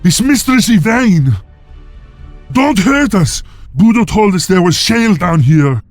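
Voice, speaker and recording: An actor playing an anxious, excitable young wolf-clan slave in a video game, with a pleading manner. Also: male voice, sits high, unhurried, no room sound. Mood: frightened pleading. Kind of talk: video game cutscene acting. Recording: music behind.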